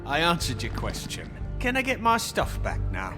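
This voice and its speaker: An actor playing a cheeky, defiant suspect in a video game, flippant and sarcastic. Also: male voice, on the high side, wildly swooping pitch, normal speed. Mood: defensive. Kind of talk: video game dramatic acting. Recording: long hall reverb, music behind.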